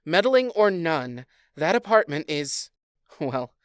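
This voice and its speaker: A woman playing a sardonic fairy queen in a modern comedy audio drama, deadpan and taunting toward her husband. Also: sits low, takes it slowly, no echo, studio quality, exaggerated voice. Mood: measured, knowing. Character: cool, sardonic fairy queen, teasing wife.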